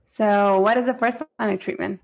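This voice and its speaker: A woman hosting a podcast, asking a guest prepared questions in a measured way and adding her own comments. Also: mid-range voice, steady tone, vocal fry, a little mellow. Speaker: podcast host.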